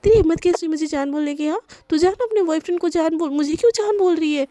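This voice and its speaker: A woman's voice: extremely high pitch, steady tone, fast talker, dark tone, no echo, pitched above the performer's own voice. A woman voicing a stubborn, angry young college student who whines and insists. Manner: whiny, insistent.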